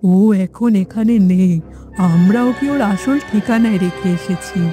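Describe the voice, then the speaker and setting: Middle-aged woodcutter's wife for a cartoon, female performer: gentle, earnest, consoling, over clear music. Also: medium pitch, unhurried, lightly acted. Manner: soothing, earnest. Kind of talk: cartoon fairytale acting.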